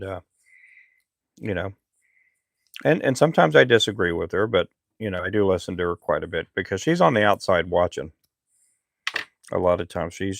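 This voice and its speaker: Gravelly low-voiced man chatting on a live stream. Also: no room sound. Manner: relaxed, rambling, frequent fillers. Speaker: lure maker live streamer. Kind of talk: casual live stream chat.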